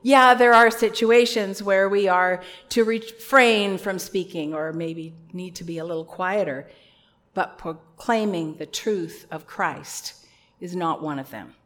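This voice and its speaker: A woman with a loud voice preaching an earnest, conversational sermon with a few personal asides. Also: lowish voice, a little slow.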